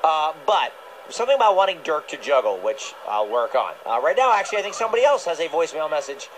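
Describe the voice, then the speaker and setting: A man's voice: a little high, sing-song, fast. A man hosting a children's radio show in a playful, chatty style. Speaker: radio host. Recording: slightly noisy capture of radio playback.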